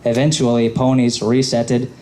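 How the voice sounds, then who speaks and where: A man reading a story aloud haltingly as a panelist at a live event. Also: mid-range voice, flat delivery, a little mellow. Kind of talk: halting live read-aloud of comic fan fiction. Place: convention panel room. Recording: faint room noise.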